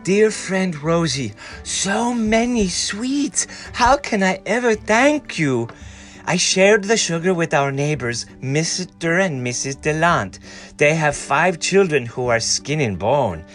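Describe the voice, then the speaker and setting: A man's voice: on the high side, lively intonation, slow, a bit bright, faint music behind, pitched above the performer's own voice. A man voicing an earnest, generous young girl in a storybook, speaking sincerely and carefully. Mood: earnest, thankful.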